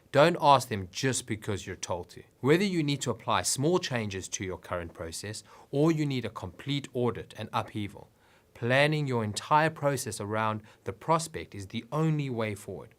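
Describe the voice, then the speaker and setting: A man's voice: mid-range voice, lively intonation, moderate pace. A man reading scripted business advice narration in an instructive way with emphatic phrasing.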